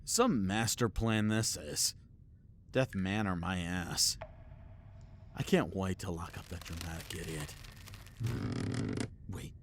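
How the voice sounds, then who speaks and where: A man's voice: low voice, steady tone, slow pace, pauses often, slight background noise. A man playing a proud, loyal superhero in an audio roleplay, speaking with sardonic and earnest emphasis. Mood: sarcastic, wary. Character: defiant male superhero, proud, sarcastic, fiercely loyal. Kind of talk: scripted audio roleplay acting.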